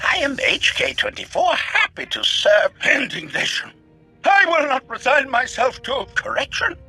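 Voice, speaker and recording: An actor playing a stiff, hostile but grudgingly obedient assassin droid in a video game, with clipped phrasing and a robotic filter. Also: male voice, very high voice, pushed and bright, husky, heavily acted. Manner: clipped, announces each statement with a label.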